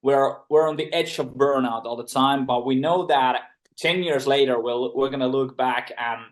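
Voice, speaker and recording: Finnish dude, intense and earnest, stutters on starts, english second language. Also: male voice, mid-range voice, fairly even intonation, normal speed. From Finland. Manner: earnest, intense, repeats words when starting. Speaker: band member, guest. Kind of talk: podcast interview answers.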